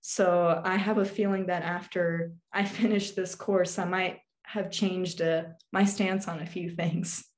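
Teen girl guest, low-ish voice, hesitant and reflective, webcam sound from a small bedroom. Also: little pitch movement, a little slow, hardly any pauses. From England, also Turkey, Azerbaijan and Alaska. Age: teens. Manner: thoughtful, hesitant with many ums. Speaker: guest, witchcraft YouTuber. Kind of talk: casual interview answers. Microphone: video call webcam.

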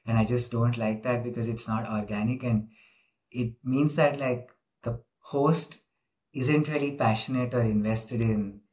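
A man's voice: medium pitch, fairly even intonation, a little slow, a little mellow. Casual male interviewer on a video call. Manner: casual, lots of "like" fillers. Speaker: interviewer, host. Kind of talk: informal interview.

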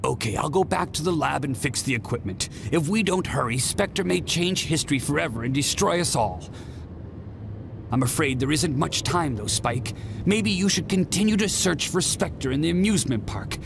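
An actor playing a kindly, earnest elderly professor who mentors a young hero in a video game. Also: male voice, fairly high pitch, expressive, moderate pace, creaky, noisy background. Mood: concerned. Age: older. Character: kindly elderly male professor, encouraging and concerned. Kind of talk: video game cutscene acting. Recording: game music behind voice.

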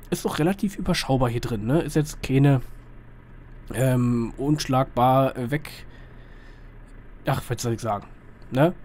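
Slightly hoarse man, chatty game commentary. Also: mid-range voice, darkish tone. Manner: colloquial, rambling, asks himself questions. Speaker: gaming video host. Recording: clear vehicle engine noise behind voice. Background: truck simulator engine sound.

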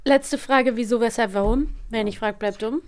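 A woman's voice: on the high side, moderate pace, a little mellow. A woman co-hosting a podcast in casual chat, talkative and laughing easily as she leads the conversation. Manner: chatty, laughing, guides the talk. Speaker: podcast co-host.

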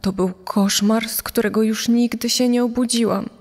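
A woman's voice: on the high side, steady tone, moderate pace, a little mellow. Woman playing a grieving mother in long reverb. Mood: pained, pleading. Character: grieving mother of a murdered daughter, pained and bitter. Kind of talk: dramatized reading of a quoted statement. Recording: long hall reverb over background music.

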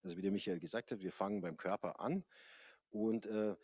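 Middle-aged male yoga teacher, husky low voice, hesitant panel talk. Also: a little fast, a little mellow, no room sound. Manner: hesitant, many fillers. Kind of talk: panel conversation. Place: large café hall, indoors. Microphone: handheld mic.

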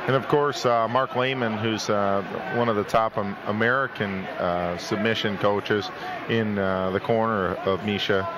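A man's voice: fairly low pitch, fairly even intonation, measured pace. A man doing play-by-play commentary at a fight, chatty and joking, asking his co-commentator questions. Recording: noisy arena.

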